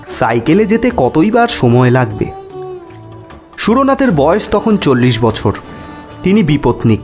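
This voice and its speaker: Guy narrating a story dramatically, highish voice that moves around a lot, soft music behind. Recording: background music under voice.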